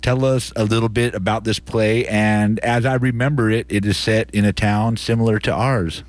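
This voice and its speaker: An American man hosts a community radio show in a casual, conversational manner. His voice sits on the low side, and the sound is dry, with very little room in it. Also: steady tone, moderate pace, dry recording. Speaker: radio host and interviewer. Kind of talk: live community radio presenting.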